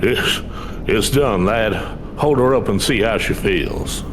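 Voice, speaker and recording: A man voices a seasoned game blacksmith in a fairly low register. The smith is gruff and blunt, with a folksy, teasing way of talking. Music is clearly audible behind the words. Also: takes it slowly. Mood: proud, approving. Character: gruff, teasing old-hand male blacksmith. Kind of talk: game dialogue read-aloud.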